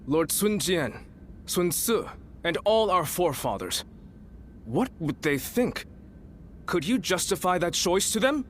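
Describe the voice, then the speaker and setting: Formal, commanding military strategist. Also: male voice, high-pitched, big pitch swings, a little hiss or hum. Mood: rousing, impassioned. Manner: formal, measured, deliberate. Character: shrewd, commanding male military strategist of ancient China. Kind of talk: video game cutscene acting.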